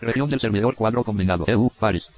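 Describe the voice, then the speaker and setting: A man's voice: on the low side, quick pace, crowd noise. A synthetic man's voice working as a screen reader, mechanically reading out short interface labels in clipped fragments. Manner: flat, mechanical, often cut off mid-word. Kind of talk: clipped text-to-speech readout of interface labels. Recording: dull, lo-fi computer audio capture.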